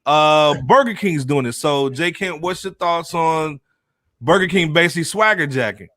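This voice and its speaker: A man's voice: fairly high pitch, moderate pace, sharp tone, no room sound, studio quality. A man with an American accent hosting a podcast in casual, slangy banter. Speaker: podcast host.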